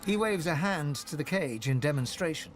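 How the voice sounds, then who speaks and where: A man playing a calm storyteller who narrates a fantasy video game in a measured, descriptive way. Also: on the high side, faint music behind, lightly acted. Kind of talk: scripted narration.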